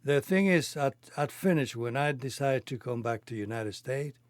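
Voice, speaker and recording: A man in his eighties from Cuba, a native Spanish speaker with a Cuban accent, recounting his life in halting, non-native phrasing. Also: mid-range voice, lively intonation, no echo. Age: 80s. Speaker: interviewee telling his life story. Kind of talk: reminiscing conversation.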